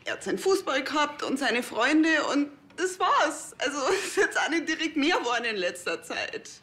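An actress playing a defensive, newly widowed housewife in a TV crime comedy, speaking colloquially. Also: female voice, high-pitched, very animated, moderate pace, fairly bright tone. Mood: defensive, uneasy. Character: defensive housewife, newly widowed, insisting all was fine.

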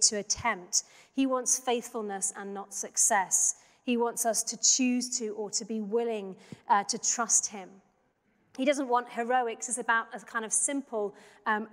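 A woman in her thirties, a pastor, giving a sermon in a church in a measured, reflective, conversational way. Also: a little high, a bit bright. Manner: measured, reflective, conversational preaching with small restarts. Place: church sanctuary. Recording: long church reverb.

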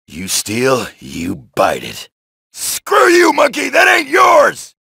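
An actor playing a brash, wisecracking male soldier who shouts taunts and one-liners while killing zombies in a video game, with a gravelly voice. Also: very high voice, wildly swooping pitch, takes it slowly, dry recording, heavily acted. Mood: taunting. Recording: dry studio voice lines.

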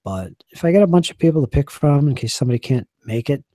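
Hoarse middle-aged man rambling on a stream. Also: medium pitch, lively intonation, fast, dry recording. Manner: rambling, earnest, trailing off quietly. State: losing his voice, hoarse. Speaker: game master and channel host. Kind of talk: casual live-stream chat. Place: small home study with shelves. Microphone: desk microphone.

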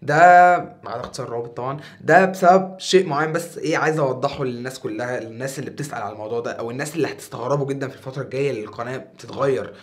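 A young man in his twenties with an Egyptian Arabic accent, talking casually to camera as a YouTuber in a small foam-paneled room, animated and a bit tired. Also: medium pitch, racing, hardly any pauses. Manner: animated, gestures a lot with his hands.

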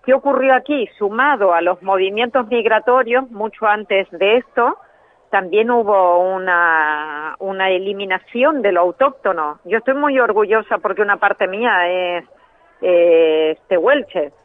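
A woman guest calling in by telephone, explaining ideas in a measured way and sometimes correcting herself mid-phrase. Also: mid-range voice. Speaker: phone-in guest, trainer in biological decoding. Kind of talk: explanatory interview answers. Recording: tinny phone line.

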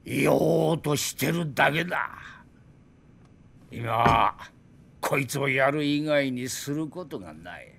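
A man's voice: medium pitch, expressive, slow pace, vocal fry, fairly bright tone, a little hiss or hum, full character voice. An actor playing a drunk, bitter old Soviet weapons designer in a video game, boastful and theatrical in his indignant ranting. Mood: bitter, brooding. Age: older. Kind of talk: dramatic video game cutscene acting.

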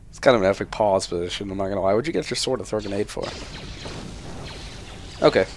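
A man's voice: fairly low pitch, normal speed, pauses often, slight background noise. A young man giving casual, sarcastic and sweary commentary while playing a video game. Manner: sarcastic, profane running commentary. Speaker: gaming video host.

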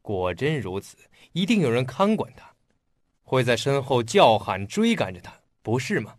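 An actor playing an earnest, polite young police detective in a radio drama. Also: male voice, medium pitch, big pitch swings, normal speed, no room sound, clean studio sound. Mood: grave, speculative.

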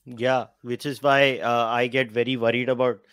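A man's voice: mid-range voice, level tone, moderate pace, a bit bright, clean tone, no room sound. Young indian guy hosting a podcast, dead dry mic, blunt and opinionated. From Mumbai, India. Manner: blunt opinions, mixes English and Hindi. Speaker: podcast host. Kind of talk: interview, reading book passages aloud. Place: room with bookshelves. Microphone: headphones and studio mic.

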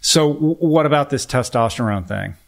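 A man's voice: medium pitch, expressive, moderate pace, vocal fry. A man in his fifties hosting a podcast, asking a guest short, curious follow-up questions into a studio mic while wearing headphones. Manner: curious, brief probing questions. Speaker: podcast host. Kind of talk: interviewing a guest. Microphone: over-ear headphones, boom-arm studio mic.

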